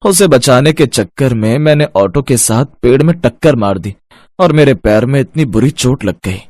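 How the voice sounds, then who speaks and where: A man playing a kind, wise middle-aged village father who drives an auto, speaking gently and reassuringly in a cartoon story. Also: medium pitch, lively intonation, moderate pace, dry recording, lightly acted. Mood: gentle, concerned.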